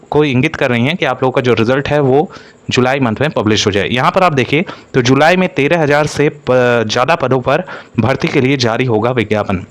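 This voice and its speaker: A man speaks as an exam-coaching presenter, explaining an update to candidates and leaning on repeated stock phrases. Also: medium pitch.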